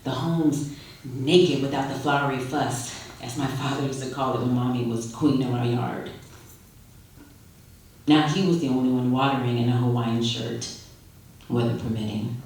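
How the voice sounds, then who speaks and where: A woman reading a literary short story aloud at a live event, expressive and unhurried, with dramatic pauses. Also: rumbling low. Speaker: story reader. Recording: echoey room, distant microphone.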